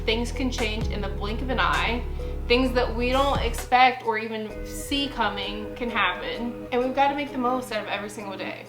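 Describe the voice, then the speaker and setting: A woman in her late twenties chats to camera in a personal vlog, upbeat and quick to laugh. Her voice sits a little high for a woman. Music plays clearly underneath her. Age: 20s. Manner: chatty and upbeat, laughs often. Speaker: vlogger.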